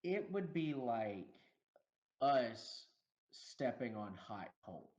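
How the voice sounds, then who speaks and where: A man co-hosting a casual movie review podcast, animated and laughing often as he acts out lines from the film. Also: medium pitch, drawn-out words. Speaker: podcast co-host.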